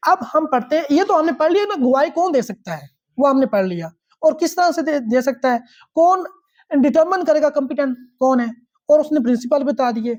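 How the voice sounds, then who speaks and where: A woman's voice: sits high, expressive, fairly quick, a little mellow. A woman with a Pakistani accent lecturing on law, reading out statutes and explaining them instructively, repeating phrases and checking understanding.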